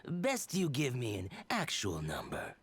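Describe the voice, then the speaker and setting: An actor voicing a secretive male dealer in a game, sly and hushed. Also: mid-range voice, huge pitch swings, measured pace, a little hiss or hum. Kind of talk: game voice acting.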